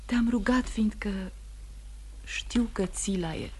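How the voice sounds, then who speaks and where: An actress playing a wounded, anxious young wife in a radio play, breathy and often hushed, earnest and halting. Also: female voice, medium pitch, close to an everyday voice. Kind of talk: Romanian radio theatre acting.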